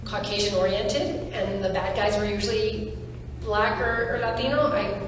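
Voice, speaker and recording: A woman casting director speaking earnestly on a panel, pausing with fillers and restarting her thoughts. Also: medium pitch, steady tone, slight background noise. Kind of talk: panel discussion. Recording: echoey hall, far from mic.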